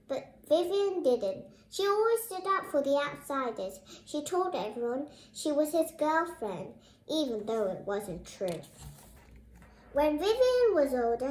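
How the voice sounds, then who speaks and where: A high, young child's voice reads a storybook aloud as host of the reading. The delivery is presenterly and speaks straight to viewers. The sound is echoey, as in a reverberant room. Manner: presenterly, addresses viewers directly. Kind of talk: read-aloud storybook narration with brief asides. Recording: roomy, echoing indoor sound.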